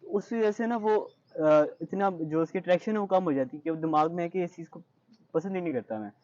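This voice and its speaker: A man's voice: a little high, fairly quick, darkish tone, lo-fi sound. A young man with a Pakistani accent answering interview questions in an earnest, reflective way, mixing in English phrases. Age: young adult. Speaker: interview guest, high-achieving student.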